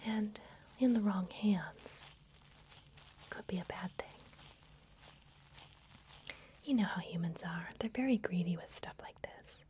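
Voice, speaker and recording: A woman voicing a secretive, gentle vampire librarian in a breathy, soothing whisper for an ASMR fantasy roleplay. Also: medium pitch, a little hiss or hum, lightly acted. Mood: secretive, confiding. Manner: slow, soothing, confiding.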